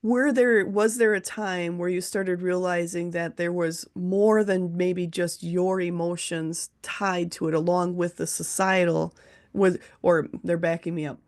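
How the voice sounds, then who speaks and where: A woman's voice: fairly low pitch, steady tone, unhurried. A woman hosting an interview, speaking earnestly and at length as she relays messages from her spirit guides. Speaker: host, teacher and medium. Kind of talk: casual interview chat.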